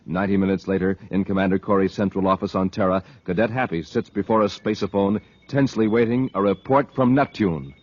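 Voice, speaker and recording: A man's voice: low-pitched, fairly quick, few pauses, a little mellow. An American man working as a 1950s radio announcer, delivering scripted lines with emphatic, dramatic showmanship. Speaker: announcer, narrator. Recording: dull, lo-fi vintage radio recording.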